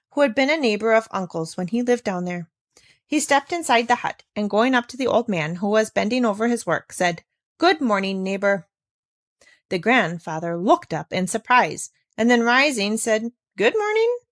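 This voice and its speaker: A woman's voice: on the high side, expressive, moderate pace, dry recording. Dry-recorded woman reading a storybook. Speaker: audiobook narrator. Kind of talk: scripted storybook narration, read aloud.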